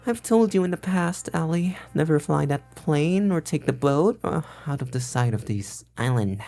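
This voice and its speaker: A sly visual novel schemer with a deep female register. The delivery is lively and smug, drawing words out teasingly, with music playing underneath. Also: moderate pace. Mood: regretful, firm. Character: sly, cocky turncoat schemer seeking escape. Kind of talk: visual novel game dialogue.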